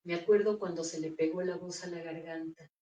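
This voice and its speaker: A woman reading her own poems aloud in a measured, deliberate recital at a bookstore. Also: lowish voice, steady tone, warm tone, recorded from a distance. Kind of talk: poetry reading. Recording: echoey room, voice off-mic.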